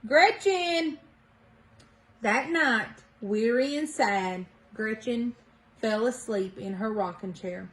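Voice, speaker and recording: A woman storyteller reading a children's picture book aloud in an expressive way, with deliberate dramatic emphasis. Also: mid-range voice.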